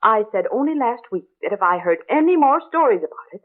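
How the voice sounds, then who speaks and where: A woman's voice: on the high side, big pitch swings, fast. Older busybody woman, dramatic and ominous storyteller, 1940s-style radio acting, muffled band-limited recording. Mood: gossipy. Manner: chatty, exclamatory, ominous storytelling. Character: gossipy, superstitious older female neighbor with rheumatism, dramatic and morbid.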